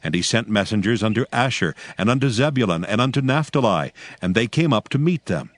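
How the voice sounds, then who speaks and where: Low-voiced man narrating scripture. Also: lively intonation, a little fast, dry recording.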